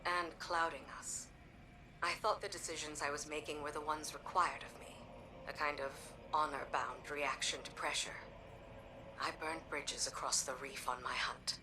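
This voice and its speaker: A woman's voice: sits low, steady tone, normal speed, distant mic, background noise, natural voice. An actress playing a stoic, loyal woman soldier in a video game, speaking in a restrained, earnest, self-doubting way. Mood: confessional, resolute. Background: music.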